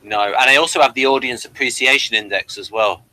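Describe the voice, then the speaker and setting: Podcast host guy, bright sharp voice, medium pitch, just chatting casually. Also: a little fast, rough recording. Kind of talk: casual discussion of TV and personality types.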